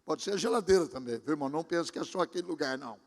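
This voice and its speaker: A man's voice: a little high, animated, racing. A pastor in his sixties giving a sermon, talking conversationally and speaking directly to his congregation. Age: 60s. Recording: long hall reverb.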